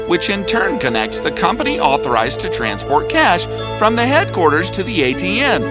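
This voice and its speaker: A man playing a confident, sharp young detective in an animated story, speaking deliberately and with authority. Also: on the high side. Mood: accusing. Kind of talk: dramatic cartoon story acting. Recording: background music under the voice.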